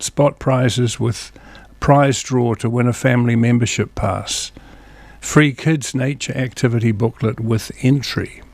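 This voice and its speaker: A man's voice: mid-range voice, a little mellow. A man with a New Zealand accent reads local event listings from a small TV studio. His voice sits in a middle register and the sound is dry, with no room echo. He moves through dates, venues and phone numbers in a plain, easygoing way and drops in small asides. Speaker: community TV host. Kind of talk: reading local event listings, casual chat.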